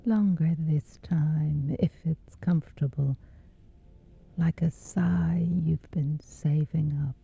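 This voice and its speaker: Very slow, breathy low-voiced woman. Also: steady tone. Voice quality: soft, breathy, whispery. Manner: gentle, soothing, measured with long pauses. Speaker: guided meditation host. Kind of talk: slow guided sleep meditation. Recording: dull muffled audio under music. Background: music.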